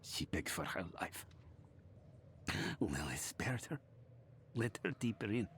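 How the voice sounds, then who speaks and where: Gasping, dying soldier, very slow. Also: male voice, on the low side, big pitch swings, a bit bright, a little hiss or hum, exaggerated voice. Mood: desperate, dying. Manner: halting, broken, desperate. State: gasping, weak, in pain. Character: dying soldier, horrified survivor of a demonic massacre. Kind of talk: dramatic video game cutscene acting.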